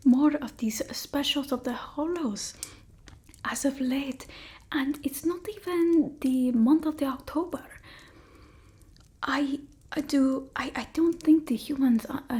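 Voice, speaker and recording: A woman voicing a haughty centuries-old female vampire, breathy and often whispering, in an ASMR roleplay. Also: high voice, a little mellow. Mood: musing, sated. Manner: broken old-world English, dramatic and haughty. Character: theatrical female vampire, centuries old, bored, demanding, scornful of humans.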